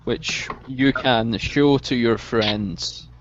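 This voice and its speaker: A man in a voice chat with a mid-pitched voice and a dry, deadpan delivery. He plays things straight in a mock-serious tone. His lo-fi mic takes the crispness off his voice. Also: very slow, slight background noise. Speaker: chat guest. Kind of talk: casual group chat.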